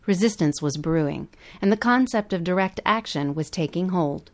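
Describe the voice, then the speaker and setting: A woman's voice: lowish voice, lively intonation, moderate pace. A woman co-hosting a podcast, reading scripted documentary-style narration. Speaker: podcast co-host.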